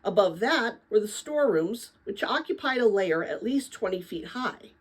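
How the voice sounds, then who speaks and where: A woman narrating a children's adventure novel as a dramatic read-aloud, with expressive, deliberate phrasing. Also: medium pitch, husky. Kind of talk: dramatic storybook read-aloud for middle-grade listeners.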